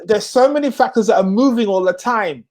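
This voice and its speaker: A man's voice: high-pitched, expressive, a little fast, darkish tone. A man from Jamaica hosting a talk show, chatting casually and often repeating and restarting his words. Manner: stammering restarts, repeats phrases. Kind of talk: casual interview chat.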